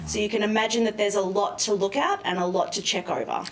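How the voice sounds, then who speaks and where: A woman speaking as an expert guest in a live news interview, explaining things in a measured, careful way. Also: medium pitch, huge pitch swings, creaky. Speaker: expert guest, maritime lawyer. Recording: roomy, distant mic with faint noise.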